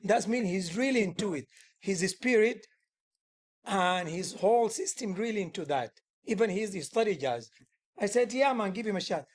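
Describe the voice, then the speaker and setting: A man's voice: sits high, lively intonation, moderate pace. A man who is a musician and music teacher, talking in a casual workshop setting. He is earnest and rambling, uses loose grammar and often restarts his sentences.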